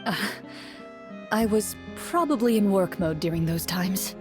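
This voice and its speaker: A woman's voice: mid-range voice, expressive, close to an everyday voice. Woman playing a composed, formal young duelist. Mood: earnest, composed. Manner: measured, formal, understated. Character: composed young female champion duelist, courteous, dryly earnest. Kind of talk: video game character dialogue. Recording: music playing behind the voice.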